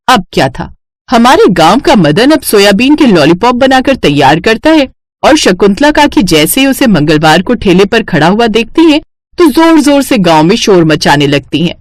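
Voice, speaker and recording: A woman narrating a scripted moral story as a storyteller, in an expressive style. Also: on the high side, no echo, clean studio sound.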